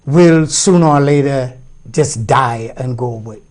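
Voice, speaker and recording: A man's voice: medium pitch, measured pace, darkish tone. A man in his sixties from Jamaica, a guest speaking reflectively and hesitantly about his life. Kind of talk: casual interview conversation.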